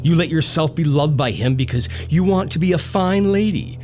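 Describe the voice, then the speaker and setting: A man's voice: on the high side, expressive, normal speed, darkish tone, faint music behind, natural voice. An actor playing a bitter, proud young stable boy in love, intense and brooding, in a period audio drama. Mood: bitter, accusing.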